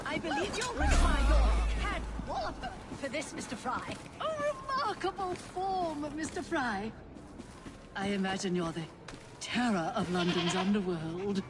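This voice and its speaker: A woman playing an excitable upper-class Victorian politician's wife in a video game, with a grand, chatty English upper-class accent. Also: fairly high pitch, measured pace, very noisy. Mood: thrilled, curious. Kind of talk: video game cutscene acting. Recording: loud music over the dialogue.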